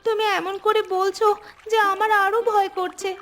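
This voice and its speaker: A woman's voice: very high voice, little pitch movement, normal speed, a bit bright. A woman voicing an anxious, observant young village girl in a cartoon, asking worried questions. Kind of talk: Bengali cartoon dialogue. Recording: music behind the voice.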